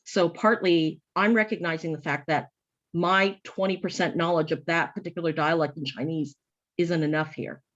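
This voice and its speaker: A woman's voice: on the low side, fairly even intonation, moderate pace. Earnest woman with muffled lo-fi sound. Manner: earnest, thoughtful, with frequent restarts. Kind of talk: panel discussion.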